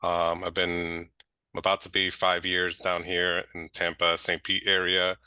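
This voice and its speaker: A man's voice: low-pitched, monotone, a little slow, pushed and bright, clean tone, no echo. A senior lighting designer, a man, introducing himself plainly on a video call.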